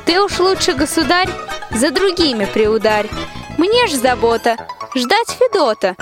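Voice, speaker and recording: A female amateur actor playing a sharp-tongued, loyal young peasant wife, declaiming spiritedly in a rhymed radio folk tale. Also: high-pitched, very animated, measured pace, music in the background. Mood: firm refusal.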